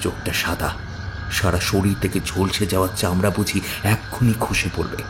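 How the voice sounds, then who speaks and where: A man's voice: low-pitched, fairly even intonation, moderate pace, noisy background. A man narrating a scripted horror story in a dramatic storytelling style. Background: loud horror background music.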